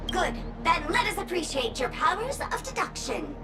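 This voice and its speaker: A woman's voice: very high voice, sing-song, normal speed, recorded from a distance. A woman voicing a playful, mischievous young female sheriff in a video game, melodramatic and teasing in her delivery. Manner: melodramatic, teasing, mock-impressed. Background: music.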